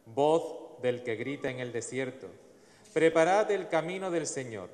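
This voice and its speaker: A man in his forties, a priest, reading the Gospel and preaching at Mass in a measured, solemn way into a lectern mic. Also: medium pitch, a little mellow. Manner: measured, solemn liturgical delivery. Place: large church in front of the altar. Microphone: stand mic at the lectern. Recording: long church reverb.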